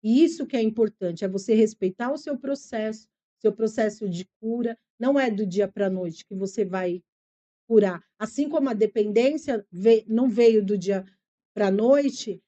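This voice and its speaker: A woman's voice: mid-range voice, normal speed, dark tone, muffled recording. A middle-aged woman with a São Paulo Brazilian accent, a psychologist guest on a podcast, speaking in an earnest, reflective way and explaining things patiently. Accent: São Paulo Brazilian Portuguese. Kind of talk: podcast interview, personal storytelling.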